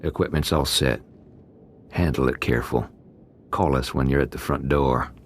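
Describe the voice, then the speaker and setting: An actor playing a breezy, mercenary inmate gang boss in a video game, with a wry drawl and casual menace. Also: male voice, extremely low pitch, moderate pace, slight background noise. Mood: confident.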